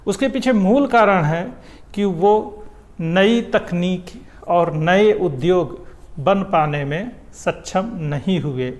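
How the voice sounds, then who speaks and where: A man's voice: high voice, slow, a little mellow. A man giving an explanatory, didactic opinion monologue as a commentator on public affairs. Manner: explanatory, didactic, rhetorical questions. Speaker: political commentator.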